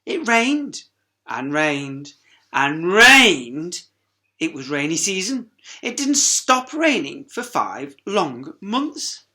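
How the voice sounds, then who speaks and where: A woman's voice: low voice, big pitch swings, drawn-out words, bright, forceful tone. A woman reading a storybook aloud to young children, with dramatic emphasis and chatty asides.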